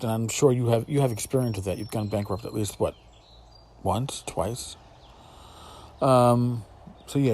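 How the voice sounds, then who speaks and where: A middle-aged man hosting a casual solo podcast, talking in a blunt, sarcastic, sweary way with emphatic repetition and pauses for effect. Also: on the low side, a little mellow, slight background noise. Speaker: podcast host. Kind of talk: casual spoken podcast monologue.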